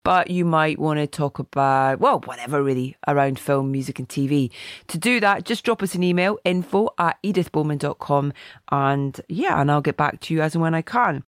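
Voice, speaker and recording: Croaky middle-aged lady hosting a film podcast, chatty, dry close sound. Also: low voice, normal speed, no room sound. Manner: chatty, warm asides, reads listener email. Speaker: podcast host. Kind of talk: casual podcast chat.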